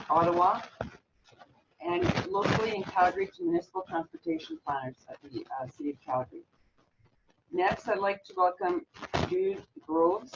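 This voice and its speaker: A woman's voice: fairly high pitch, vocal fry. A woman hosting a webinar over a video call, reading introductions and house rules with some fillers. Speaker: webinar moderator. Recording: distant dull video call audio with noise.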